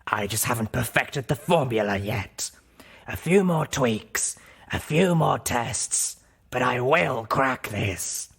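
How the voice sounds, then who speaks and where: A man voicing a cracked undead pony doctor in an audiobook, muttering obsessively in a gravelly rasp. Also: medium pitch, expressive, fairly bright tone, voice pushed up, exaggerated voice. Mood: obsessed ranting. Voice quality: raspy, gravelly. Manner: slow, muttering, rambling to himself. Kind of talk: audiobook character voice.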